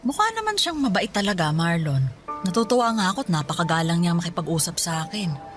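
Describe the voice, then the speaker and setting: A caring middle-aged mother chats gently with her grown son. Her voice sits a little low, and soft music plays faintly under the radio drama scene. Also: sing-song, quick pace. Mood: motherly.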